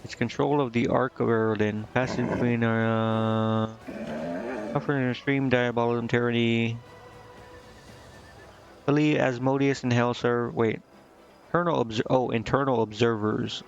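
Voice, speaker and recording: A man's voice: mid-range voice, fairly even intonation, measured pace, creaky, long pauses, lo-fi sound, faint music behind. A man livestreaming a game, reading text aloud and commenting in an informal, slangy, offhand way. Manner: informal, slangy, reacting offhand between read-out lines. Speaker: video game streamer.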